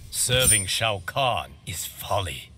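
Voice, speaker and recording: A man voicing a solemn, righteous wind god in a video game, formal and measured. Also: on the low side, sing-song, pushed and bright, full character voice. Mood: solemn warning. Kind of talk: video game character acting. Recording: game ambience noise behind the voice.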